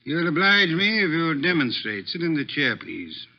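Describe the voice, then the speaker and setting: A man's voice: a little high, very animated, normal speed. A man playing an imperious, pedantic detective in a vintage radio mystery, with formal and precise diction. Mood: measured, authoritative. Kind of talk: 1940s-50s radio mystery acting. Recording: dull vintage radio recording.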